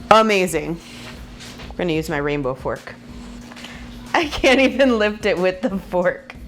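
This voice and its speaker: A woman in her thirties whose first language is Spanish, chatting playfully to viewers as she cooks in her home kitchen. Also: lowish voice. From Puerto Rican and Mexican heritage. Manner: chatty, playful, laughs often, talks to viewers. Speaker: cooking vlogger. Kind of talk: casual cooking demo to camera.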